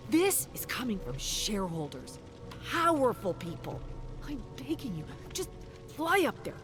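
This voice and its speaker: A woman's voice: on the high side, voice pushed up. A woman voicing an anxious male TV executive who pleads and persuades, in a dramatic game story reading. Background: music.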